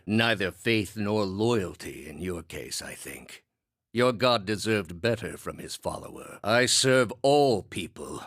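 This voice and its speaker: A man playing an ancient, zealous high priest villain in a fantasy video game, grave, measured and condescending in a theatrical, oratorical way. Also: a bit bright, dry recording, studio quality, heavily acted.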